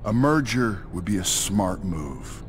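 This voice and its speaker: A calculating older executive villain from a video game. He talks very slowly in a low, deliberate, persuasive voice. Music plays behind him. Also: big pitch swings, full character voice. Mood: persuasive, scheming. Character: smooth, calculating older male corporate villain. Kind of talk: video game cutscene acting.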